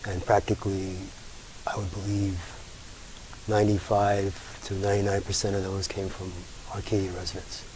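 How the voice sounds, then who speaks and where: A man's voice: lowish voice, completely flat delivery, darkish tone, rough recording. Hesitant man with faint hiss. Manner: hesitant, halting. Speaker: school board candidate.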